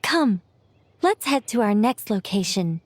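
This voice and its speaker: A woman playing a whimsical young witch in a video game, self-assured and breezy, musing in a gently theatrical way. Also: mid-range voice, sing-song, a little slow, a little hiss or hum. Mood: reassuring.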